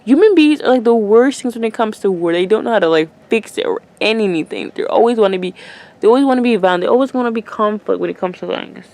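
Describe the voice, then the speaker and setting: Chatty teen girl vlogger, gravelly creak on a mid-pitched voice, talks to the camera in rambling bursts. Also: animated, fast talker, darkish tone. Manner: rambling, chatty, many fillers and restarts. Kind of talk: casual letter to future self.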